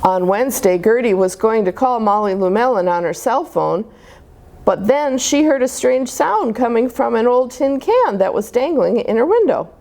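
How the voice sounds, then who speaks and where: A woman reading a picture book aloud as a storyteller, with an expressive, deliberate delivery meant for young children. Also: on the high side.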